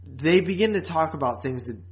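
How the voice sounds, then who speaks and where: A man's voice: on the high side, lively intonation, a little fast. A man co-hosting a podcast, chatting casually in a blunt, rambling way with some swearing. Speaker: podcast co-host. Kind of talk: casual podcast chat recapping and reviewing.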